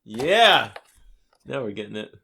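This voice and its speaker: A man's voice: medium pitch, big pitch swings, a little slow, creaky, pushed and bright, slight background noise. A man co-hosting a board game podcast, chatting casually with friends. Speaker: podcast co-host.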